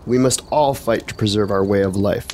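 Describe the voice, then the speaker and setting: An actor playing a stern mentor to young villagers, solemn and exhorting, in formal fantasy radio drama style. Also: male voice, on the low side, moderate pace, a little mellow, a little hiss or hum, lightly acted.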